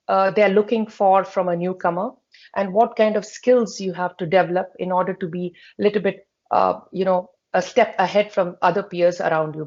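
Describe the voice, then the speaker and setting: A woman, an interview guest earnestly laying out job-search advice step by step, pausing often. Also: mid-range voice, a little mellow, dry recording. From India. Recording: dry, muffled lo-fi audio.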